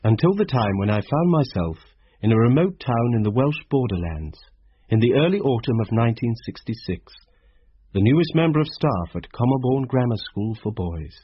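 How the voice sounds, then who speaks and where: A man's voice: medium pitch, very animated, moderate pace, breathy edge, no room sound. An actor playing a British schoolmaster who narrates his own story in a scripted radio drama. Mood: reflective. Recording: slightly muffled radio recording.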